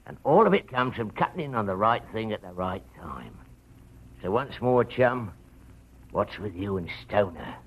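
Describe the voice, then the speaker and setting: An actor playing a menacing, short-tempered crook with a rough voice in a 1940s radio crime drama. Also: male voice, on the low side, very animated, normal speed, exaggerated voice, rough recording. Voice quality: rough, gravelly.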